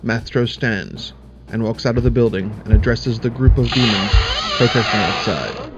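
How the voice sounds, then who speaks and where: A man's voice: fairly low pitch, normal speed, loud music behind the voice. Matter-of-fact male drama narrator. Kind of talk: scripted narration. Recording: lo-fi fan audio drama.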